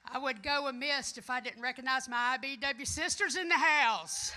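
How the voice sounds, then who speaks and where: A middle-aged woman from the American South speaks in a high, forceful voice with a Southern accent. She addresses a conference as a union tradeswoman, loud and emphatic, with a folksy, rallying delivery. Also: normal speed. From American South, Florida. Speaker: union electrician and trades council president giving a speech. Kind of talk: rousing conference speech. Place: conference hall, addressing an audience.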